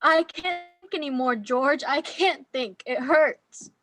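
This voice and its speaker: A woman playing a befuddled, helpless old soul in a radio play, fretful and halting, repeating words. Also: high-pitched, a bit bright, no echo. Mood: distraught, helpless. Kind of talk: radio drama acting.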